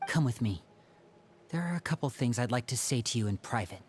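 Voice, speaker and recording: A man voicing a young man in a video game, a sharp older brother who probes teasingly and then turns tender and sincere. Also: medium pitch, animated, fairly quick, natural voice. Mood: measured.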